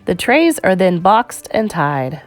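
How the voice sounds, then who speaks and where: A woman reading an educational children's picture book aloud in a measured, clearly phrased storytime delivery. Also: lowish voice, sing-song, soft background music.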